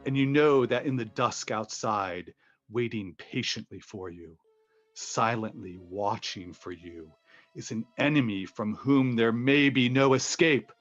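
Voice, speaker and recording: Guy playing spooky old radio suspense announcer, real slow and dramatic, faint music, muffled sound. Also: medium pitch, natural voice. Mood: ominous. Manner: dramatic, ominous, deliberate.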